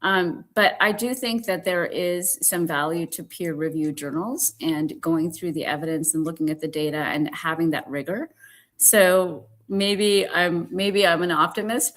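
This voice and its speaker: A woman's voice: fairly low pitch, fairly even intonation, moderate pace. A woman guest on a podcast, talking earnestly with frequent "you know" fillers. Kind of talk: conversational podcast discussion.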